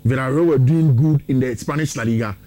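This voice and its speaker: A man's voice: medium pitch, fairly quick, mellow, faint music behind. A man with a Ghanaian accent giving opinionated, conversational sports preview commentary as a football pundit, slipping into local-language phrases.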